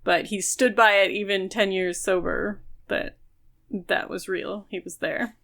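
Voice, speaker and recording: A woman's voice: mid-range voice, fairly even intonation, unhurried, gravelly, a bit bright. A woman co-hosting a podcast, casually talking through a book and carefully qualifying her opinions. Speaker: podcast co-host.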